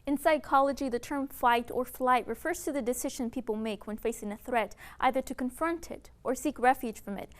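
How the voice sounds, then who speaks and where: A woman TV news reporter delivering a field report with crisp broadcast delivery. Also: high-pitched, fairly quick, hardly any pauses, a little hiss or hum.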